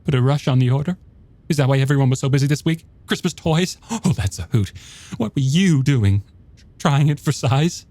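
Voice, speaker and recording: A man reading aloud voices an agitated woman sarcastically accusing her husband, lifting his pitch well above his own. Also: lively intonation, moderate pace, dark tone, no room sound, lightly acted. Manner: sarcastic, accusing, rising to near-shrill.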